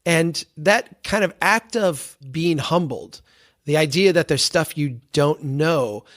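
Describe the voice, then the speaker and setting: Higher-pitched American man explaining ideas. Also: slow pace, a bit bright. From small town in central Minnesota, US. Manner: reflective, explains with rhetorical questions and examples. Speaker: podcast guest, engineer and planner. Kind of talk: explanatory interview talk with anecdotes.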